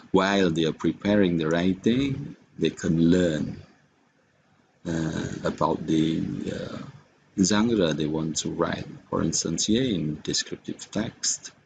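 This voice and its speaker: A man with an Indonesian accent giving an unscripted software tutorial in a halting, hesitant manner. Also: deep voice, lively intonation, vocal fry, a little hiss or hum. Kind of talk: unscripted screen-recorded tutorial.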